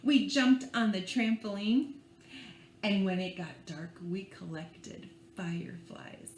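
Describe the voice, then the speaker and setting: A woman reading a picture book to young children in an expressive, playful and theatrical way, drawing out words and adding hushed asides. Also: mid-range voice, slow pace. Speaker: librarian reading at children's storytime. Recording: echoey room with faint background noise.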